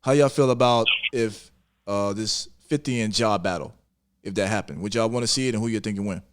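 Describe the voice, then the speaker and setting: A man's voice: fairly low pitch, brisk, clean tone. A man moderating a casual hip-hop discussion, putting questions to others and repeating himself to get a word in. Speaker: podcast moderator.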